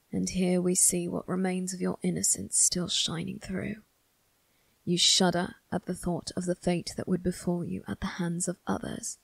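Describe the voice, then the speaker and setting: A woman voicing a cold, condescending male vampire crime boss in an ASMR roleplay, speaking in a husky, gravelly near-whisper with slow, deliberate menace. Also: lowish voice, dry recording, exaggerated voice. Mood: cold condescension. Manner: slow, deliberate, measured taunting. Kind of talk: ASMR roleplay monologue addressed to the listener.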